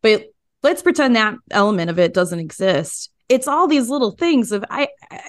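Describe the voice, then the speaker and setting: A woman's voice: mid-range voice, very animated, moderate pace. A woman hosting a podcast in casual, emphatic, stop-start conversation. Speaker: podcast host. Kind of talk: casual interview chat.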